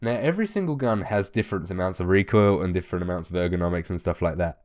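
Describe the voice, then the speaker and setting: A man hosting a gaming video, a little hoarse as his voice comes back, talking tips in a chatty way and swearing casually. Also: deep voice, lively intonation, fast talker, a little mellow. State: hoarse, recovering from losing his voice. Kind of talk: casual spoken game tips.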